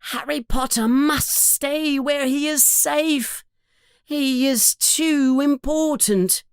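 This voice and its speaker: A woman's voice: sits high, slow pace, no room sound, clean studio sound, voice pushed up. A woman voicing a frightened, devoted male house elf servant in a storybook, pleading dramatically and speaking of himself in the third person. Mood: urgent warning.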